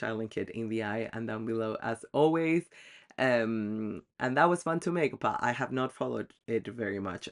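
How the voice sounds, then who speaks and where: A man talking casually to camera about his reading goals, chatty and self-deprecating, with frequent ums. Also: fairly low pitch. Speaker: book vlogger.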